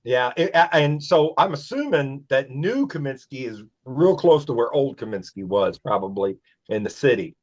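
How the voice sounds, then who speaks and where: A man's voice: mid-range voice, expressive, normal speed. A man of sixty chatting casually as a podcast co-host, folksy and rambling, on a dull lo-fi recording. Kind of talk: casual chat, reminiscing. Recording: dull lo-fi sound.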